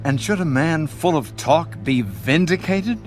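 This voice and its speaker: An actor playing a stern, self-righteous man rebuking his friend in a deliberate, rhetorical way in a dramatised scripture reading. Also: fairly high pitch, lively intonation, soft background music. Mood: stern rebuke.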